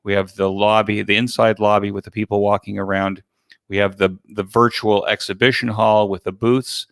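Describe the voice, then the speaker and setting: Low, smooth-voiced man explaining things conversationally. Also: flat delivery, moderate pace, a bit bright, no room sound. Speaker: interview guest, online event organizer.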